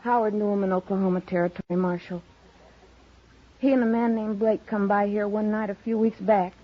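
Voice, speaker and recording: Actress as a husky, bitter frontier woman. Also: mid-range voice, fairly even intonation, fairly quick, lightly acted. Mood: bitter, resigned. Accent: rural American. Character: bitter, proud, plain-spoken frontier homesteader woman. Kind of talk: 1950s radio western acting. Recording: muffled old radio recording.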